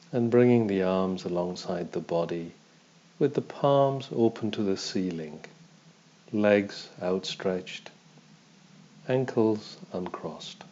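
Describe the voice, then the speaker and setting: A man guiding a body scan meditation as a teacher, in a calm, measured, instructional manner, on a dull, muffled recording. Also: low-pitched.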